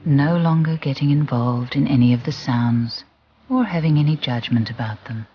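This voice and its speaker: A soothing, gentle voice guiding a relaxation and visualisation exercise, deliberate and with long pauses. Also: medium pitch, slight background noise. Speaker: guided relaxation instructor. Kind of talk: guided visualisation narration. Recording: muffled recording with music behind. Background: background music.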